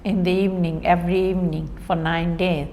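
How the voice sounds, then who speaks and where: A woman born in India and raised in Kenya, calmly recounting her childhood in an interview. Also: on the low side, a little fast. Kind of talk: personal storytelling. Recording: reverberant room with faint noise.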